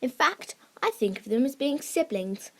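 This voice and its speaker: A woman with a fairly high voice presents a scripted lesson for young listeners. She teaches creative writing in simple, encouraging language, addressing children directly as their host. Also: very animated, normal speed. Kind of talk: scripted instructional narration for children.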